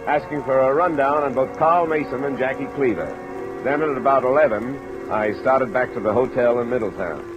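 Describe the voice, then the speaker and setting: A man's voice: mid-range voice, normal speed, muffled recording, over loud music, natural voice. An American man playing a tough, sardonic insurance investigator in a 1940s radio detective drama. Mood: dry narration. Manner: hard-boiled, curt, sarcastic. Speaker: lead actor and narrator. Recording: old radio recording under steady rain sound.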